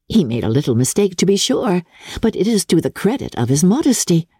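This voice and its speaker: A woman voicing a wry, affectionate, playful young Regency gentlewoman with a teasing, witty manner in an audiobook. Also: on the low side, big pitch swings, brisk, husky, no echo, clean studio sound, pitched above the performer's own voice.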